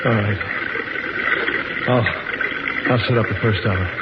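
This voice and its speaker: Haunted explorer, muffled old radio drama. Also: male voice, fairly low pitch, steady tone, takes it slowly, heavy background noise. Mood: weary. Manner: intense, suspenseful, theatrical delivery. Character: frightened male cave explorer named Martin, recounting his ordeal. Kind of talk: 1940s radio acting, dramatic first-person narration. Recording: dull 1940s radio recording with music.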